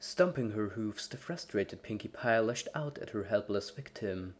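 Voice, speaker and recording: A woman with a very deep voice for her sex narrates a horror story. She reads from a script in a dramatic storytelling style. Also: expressive, moderate pace.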